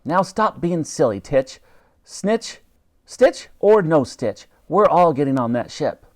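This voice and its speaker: A man voicing a brave, bossy young girl who shouts orders in a storybook reading. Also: sits high, very animated, takes it slowly, pitched above the performer's own voice, full character voice. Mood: exasperated.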